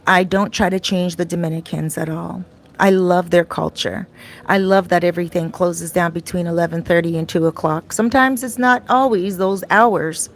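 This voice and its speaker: Chatty woman with a slightly raspy voice. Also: fairly low pitch, steady tone, normal speed. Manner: chatty, rambling, with many fillers and restarts. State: slightly raspy voice, clears throat often. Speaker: vlogger and life coach. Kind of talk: casual talk to camera. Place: her home in a Dominican neighborhood.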